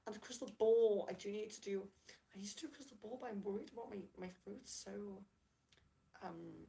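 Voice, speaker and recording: A woman hosting a casual livestream, chatting and apologising to viewers as she reads out their comments. Her voice has a gravelly fry and sits at a middling pitch. She is slightly hoarse from losing her voice. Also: brisk, dark tone. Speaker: livestream rune reader.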